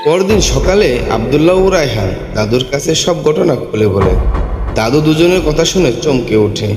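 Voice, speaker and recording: A man's voice: on the high side, animated, moderate pace, over loud music. A man reading scripted narration for a cartoon story. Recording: echoing voice with long reverb.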